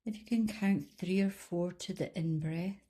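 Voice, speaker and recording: A woman leading a guided body scan meditation in a calm, soothing, unhurried manner, leaving long pauses between instructions. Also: lowish voice. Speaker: meditation guide, life coach.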